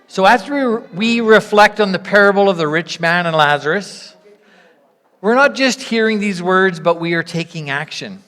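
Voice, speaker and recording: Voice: mid-range voice, lively intonation, normal speed, a bit bright. A church leader addressing a congregation with instructions and reflection in an encouraging, invitational, explanatory way. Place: large reverberant room, church gathering. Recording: reverberant hall sound.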